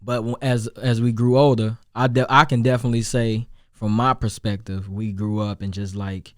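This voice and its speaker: Young male rapper guest, deep low voice, Chicago accent, loose rambling delivery, dry close studio sound. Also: little pitch movement, moderate pace, no room sound. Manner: loose, rambling, with many restarts and fillers. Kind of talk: casual interview chat.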